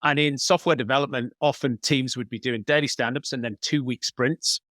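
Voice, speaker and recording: A man's voice: mid-range voice, steady tone, fairly quick, a bit bright, dry recording, studio quality. A man giving explanatory, conversational answers as a business coach in an interview, working step by step through examples. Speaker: business coach, podcast guest.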